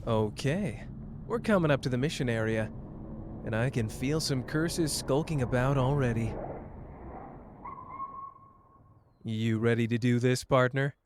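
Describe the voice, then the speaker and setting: A man's voice: medium pitch, normal speed, noisy background, natural voice. A man playing a cocky, caring young sorcerer in a roleplay ASMR drama, teasing and tender as the listener's partner. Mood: upbeat, casual.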